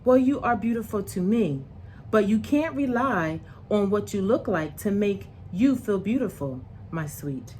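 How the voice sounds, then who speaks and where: Gentle storybook mother, played by a woman, loving and reassuring, slow tender delivery. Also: medium pitch, animated, slight background noise, close to an everyday voice. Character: gentle, loving mother comforting her young daughter.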